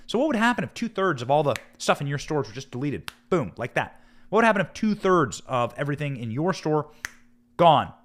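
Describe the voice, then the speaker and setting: Male American political host: dramatic, emphatic delivery with very lively, swooping intonation. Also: medium pitch. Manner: emphatic, dramatic, with rhetorical questions and drawn-out stressed words. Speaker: political commentary show host. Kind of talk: live political commentary with news read aloud.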